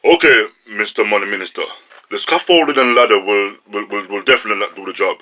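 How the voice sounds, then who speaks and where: A man's voice: fairly low pitch, fast, pushed and bright. A man playing a matter-of-fact building contractor in a radio drama, speaking in a businesslike way, a little hesitant but unbudging. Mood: firm.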